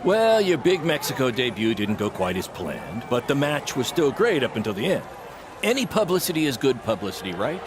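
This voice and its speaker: A man's voice: medium pitch, very animated, normal speed, lightly acted. Guy acting as hyped up wrestling promoter in a game cutscene, salesy, voice swings around a lot, noisy background. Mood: proud, upbeat. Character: excitable fast-talking male wrestling promoter, proud and cautious. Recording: clear background noise.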